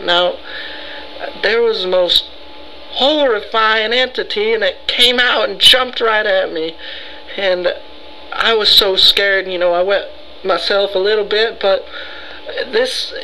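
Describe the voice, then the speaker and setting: High, creaky, frightened rambling man, put on by a prankster. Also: pushed and bright, slight background noise, heavily acted, poor audio. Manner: rambling, halting, melodramatic, pleading. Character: frightened, rambling, easygoing man reporting a ghost. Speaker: prank caller. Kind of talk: improvised phone prank.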